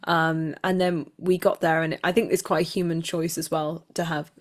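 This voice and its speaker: A woman's voice: low-pitched, flat delivery, moderate pace. A woman talking casually and reflectively as an actor being interviewed.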